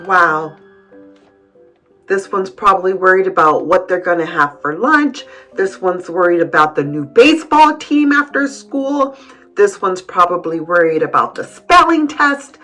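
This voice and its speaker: A woman reading a picture book aloud to young children, adding gentle, encouraging comments and addressing them as friends. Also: fairly low pitch, expressive, unhurried, faint music behind. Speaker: children's read-aloud host.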